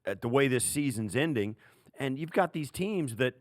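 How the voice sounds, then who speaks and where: A man's voice: medium pitch, animated, normal speed, no room sound, studio quality. A man co-hosting a sports podcast, giving conversational football commentary in a colloquial way with emphatic repetition. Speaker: sports podcast co-host, analyst.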